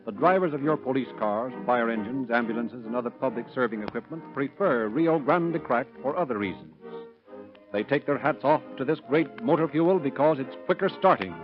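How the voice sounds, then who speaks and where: A man's voice: medium pitch, animated, moderate pace. A man announcing and narrating in formal, emphatic 1930s radio style on a muffled old recording. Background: music.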